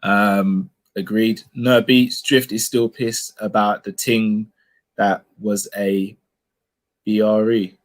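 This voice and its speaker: A man's voice: on the low side, little pitch movement, slow. A man hosting a casual fan podcast, reading out viewer comments in a laid-back, conciliatory way.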